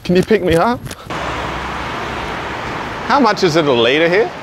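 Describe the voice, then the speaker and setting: A man's voice: sits high, big pitch swings, measured pace. A young Australian man hosting a prank video, chatting casually outdoors at a petrol station, laughing often and swearing freely. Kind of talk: casual prank vlog chat. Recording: outdoor street noise.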